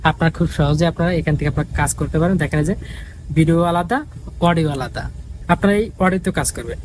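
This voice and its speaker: Fast, high-pitched man. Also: a little hiss or hum, low-quality recording. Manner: repetitive, instructional. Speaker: tutorial host. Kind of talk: casual step-by-step app tutorial.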